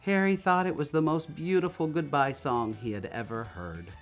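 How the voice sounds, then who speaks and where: A man reading a children's story aloud in an expressive, deliberate storytelling manner. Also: fairly high pitch, faint music behind. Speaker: storybook reader.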